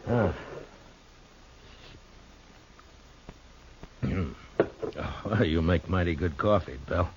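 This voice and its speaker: An actor playing a stoic, plain-spoken frontier marshal in a radio western, laconic and sometimes halting. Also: male voice, sits low, background noise. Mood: easygoing. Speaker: lead actor and narrator. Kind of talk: 1950s radio western acting. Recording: dull old radio recording with music.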